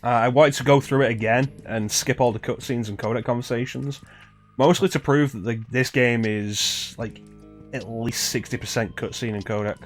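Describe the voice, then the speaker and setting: A man chats his way through live gameplay in a rambling, conversational manner. His voice sits at an average pitch. Faint game music plays behind him. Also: steady tone, moderate pace. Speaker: streamer and game player. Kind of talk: casual live gameplay commentary.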